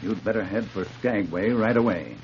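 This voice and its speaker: A man's voice: fairly low pitch, moderate pace, a little mellow, natural voice. An actor playing a humbled, plain-spoken frontiersman with an earnest, folksy delivery in old-time radio drama style. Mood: earnest, contrite. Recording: muffled, noisy vintage radio recording.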